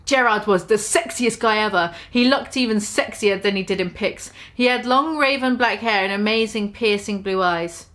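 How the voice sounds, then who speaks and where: A woman's voice: medium pitch, moderate pace, few pauses, fairly bright tone. A woman in her twenties giving a dramatic comic reading, exaggerated and mock-serious, with amused asides. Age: 20s. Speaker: online video host. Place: small room at home.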